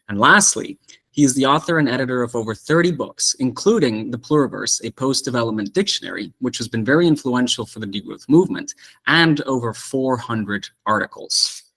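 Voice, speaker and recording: A man from Mexico City speaks as the host of an online discussion over a video call. His voice sits at a middle pitch. He welcomes and introduces in an effusive, enthusiastic way. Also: a bit bright.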